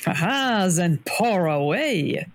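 A woman playing a sly, worldly French rebel in an audio drama, with a French accent and formal, measured phrasing. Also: fairly low pitch, sing-song, very noisy, full character voice. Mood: measured, sympathetic.

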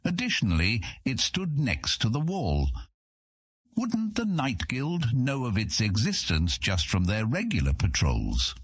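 A man narrating a scripted fantasy audiobook as a measured, expressive storyteller. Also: medium pitch, breathy edge, no room sound, studio quality.

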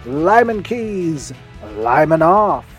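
A man's voice: on the high side, sing-song, drawn-out words, soft background music. A man giving a chatty podcast recommendation in a rambling, self-deprecating way. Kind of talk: chatty scripted-feeling promo talk.

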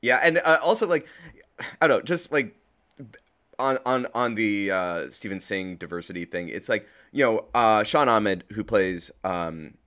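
A man's voice: lowish voice, lively intonation, unhurried, a bit bright. A man chatting casually as a podcast guest, effusive and rambling, often repeating words as he searches for phrasing. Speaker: podcast guest, audio drama creator. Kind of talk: casual, enthusiastic interview chat.

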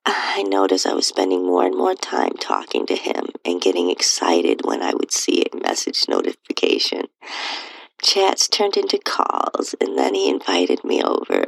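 A woman with a breathy, husky voice reading a first-person erotic story in a soft, intimate, near-whispered delivery. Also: sits low, dry recording, low-quality recording. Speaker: podcast host narrating own stories.

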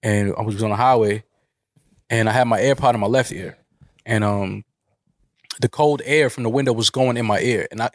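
A man's voice: lowish voice, normal speed, long pauses, dry recording, clean studio sound. Nasal, congested man chatting on a podcast. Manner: animated storyteller who laughs often and swears casually. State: a little nasal from a cold. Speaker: podcast co-host. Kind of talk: casual chat.

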